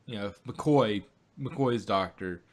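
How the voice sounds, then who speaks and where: A man's voice: fairly low pitch, slow pace. Guy on a podcast rambling on, lots of you know and uh. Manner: rambling, many fillers and restarts. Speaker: podcast co-host. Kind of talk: casual fan discussion.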